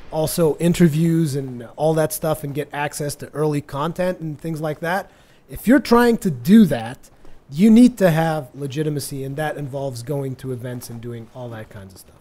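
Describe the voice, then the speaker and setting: Higher-pitched man, mellow, chatty. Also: slight background noise. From Portugal. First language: Portuguese. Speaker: gaming video host. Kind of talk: casual chatty gameplay commentary.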